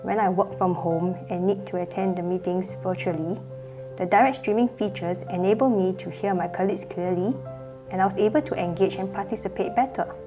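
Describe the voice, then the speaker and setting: A woman's voice: mid-range voice, fairly quick, over music. A woman in her twenties giving a scripted testimonial as a product user. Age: 20s.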